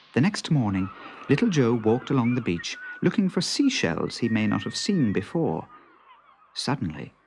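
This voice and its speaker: A man's voice: lowish voice, a little hiss or hum. A man narrating a children's story in a dramatic, suspenseful way.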